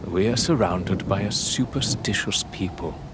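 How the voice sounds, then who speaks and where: A man's voice: sits low, normal speed, noisy background, natural voice. A man acting a haughty, sceptical manor lord in a period audio drama, with pompous, commanding and formal diction. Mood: concerned, indignant.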